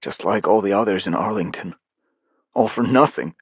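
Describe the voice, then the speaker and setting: A man's voice: lowish voice, normal speed, breathy edge, no echo, clean studio sound. A man voicing an ashamed, broken young soldier. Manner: subdued, defeated.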